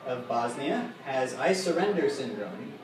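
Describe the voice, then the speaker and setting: Guy doing a fake news bit onstage, lots of uhs, dry, swoopy pitch, echoey bar mic from far back. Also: very animated, very noisy. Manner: halting, full of uhs, dry delivery. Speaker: comedy show co-host. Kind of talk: live comedy bit, mock news report. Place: comedy bar stage with live audience. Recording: echoey live room from far off, loud crowd.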